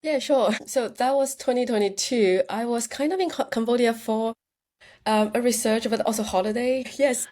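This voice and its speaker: A woman's voice: fairly high pitch, normal speed, mellow. A woman from China with a Chinese accent, a native Chinese speaker, answering interview questions earnestly with restarts. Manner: earnest, plain phrasing with restarts. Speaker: researcher and guest.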